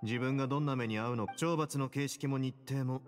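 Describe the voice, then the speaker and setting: A man playing a stern, composed duke of a prison fortress in an anime game, with a cool, measured delivery. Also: mid-range voice, steady tone, lightly acted. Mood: calm, serious. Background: game music.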